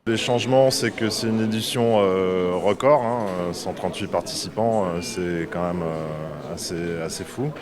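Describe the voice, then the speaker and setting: A man, a sailing skipper, talking in a press interview with lively emphasis and fillers. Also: deep voice, a little slow, few pauses. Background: crowd chatter.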